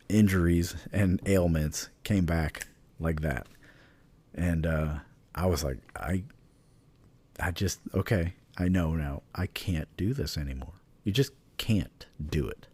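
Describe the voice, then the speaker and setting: A laid-back younger man with a very deep, dark voice co-hosts a podcast, chatting casually and sprinkling in "dude" and "like." He is recorded close and dry, with no room sound. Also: dry recording. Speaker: podcast co-host. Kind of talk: casual chat.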